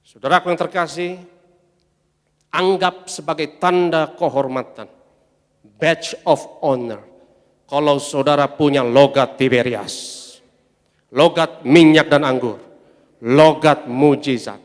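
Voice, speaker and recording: A man preaching a sermon as pastor, emphatic, swelling loud on his refrains and calling the congregation to say amen. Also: on the high side, takes it slowly, long pauses. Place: large church hall. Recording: long church-hall reverb.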